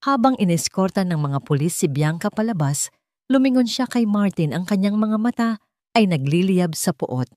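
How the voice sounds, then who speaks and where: A woman's voice: medium pitch, animated, normal speed, a little mellow, dry recording, studio quality. A woman with a Filipino accent reading a scripted dramatic story as an audio narrator.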